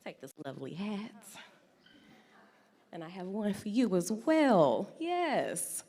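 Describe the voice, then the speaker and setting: A woman from St. Louis in her forties, giving a loud, playful talk that draws in the audience. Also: mid-range voice, very animated, takes it slowly. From St. Louis, USA. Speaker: guest speaker. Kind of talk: lively public talk with audience games. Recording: echoey hall sound.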